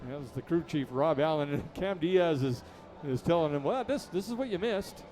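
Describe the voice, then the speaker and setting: A man's voice: on the high side, animated, moderate pace, darkish tone. A man calling a baseball game, chatty and jokey, trading dad jokes with his booth partner. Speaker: play-by-play announcer. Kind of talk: baseball commentary.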